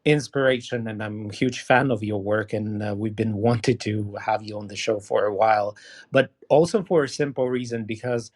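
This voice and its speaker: A man's voice: lowish voice, fairly even intonation, normal speed, darkish tone, breathy edge. A man whose first language is Ukrainian, co-hosting a podcast and asking effusive, rambling questions. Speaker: podcast co-host. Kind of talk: interview questions.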